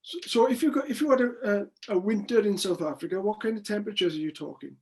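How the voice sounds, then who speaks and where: A man's voice: high-pitched, lively intonation, fast. Older man, chatty rambling interviewer.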